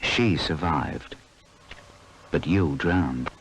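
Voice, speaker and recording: A man's voice: rumbling low, extremely slow, gravelly, background noise. A man reading scripted safety narration for a public information film in a grave, admonishing way. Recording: old film soundtrack, faint clicks.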